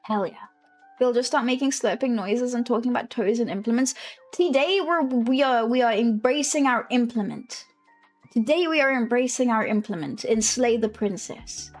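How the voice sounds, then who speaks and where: A woman's voice: fairly high pitch, normal speed, soft background music. A woman from South Africa with a South African English accent, chatting casually on a game stream, rambling and correcting herself as she goes. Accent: South African English, with a British-leaning lilt. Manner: rambling, self-correcting, laughs often.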